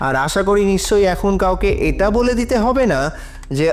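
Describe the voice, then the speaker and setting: A man playing an earnest advocate arguing deliberately and respectfully in court, in an audio drama. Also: high voice, lively intonation, slight background noise. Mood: grave, revealing. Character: Aniket, earnest male advocate arguing a trafficking case in court. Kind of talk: Bengali audio drama acting. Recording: dramatic music under the voice.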